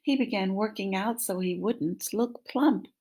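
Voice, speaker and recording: A woman's voice: mid-range voice, a little slow, mellow. A woman in her fifties reading a picture book aloud to young children, prompting them with questions, recorded on a laptop in a small room at home. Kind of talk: interactive children's story read-aloud. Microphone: laptop microphone at arm's length.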